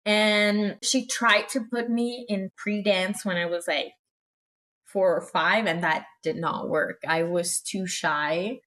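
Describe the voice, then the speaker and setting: A young woman from Italy speaks English in a relaxed vlog. She is chatty and emphatic, often checking in with her viewers. Her voice sits in an ordinary middle register. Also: mid-range voice, slow pace. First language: Italian. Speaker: professional dancer and choreographer. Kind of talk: casual talk to camera.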